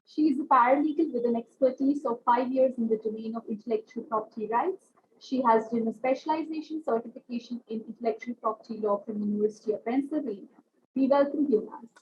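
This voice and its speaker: A woman formally introducing the next speaker at a webinar, reading quickly. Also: on the high side. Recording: dull lo-fi line, faint noise.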